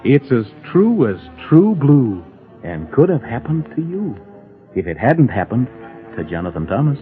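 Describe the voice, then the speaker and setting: A man's voice: mid-range voice, sing-song, a little slow, music in the background. A man playing a whimsical, sing-song storybook narrator in a 1930s children's radio program. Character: playful storybook narrator of a children's Christmas tale. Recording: tinny old 1930s radio recording.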